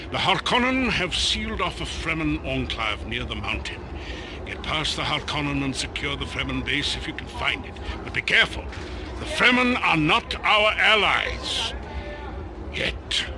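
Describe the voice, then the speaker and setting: A commanding war adviser, noisy audio. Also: male voice, on the high side. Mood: grave, cautious. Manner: theatrical, emphatic, measured. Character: commanding, shrewd male war adviser to a noble house. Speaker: military adviser. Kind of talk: dramatic mission briefing. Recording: clearly noisy game cutscene audio.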